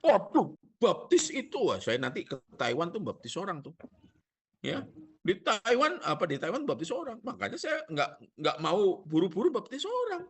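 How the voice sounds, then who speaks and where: Male pastor, Javanese accent, lively preaching with jokes and questions, husky tone. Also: high voice, moderate pace. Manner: animated, rhetorical questions and jokes to the congregation. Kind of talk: sermon.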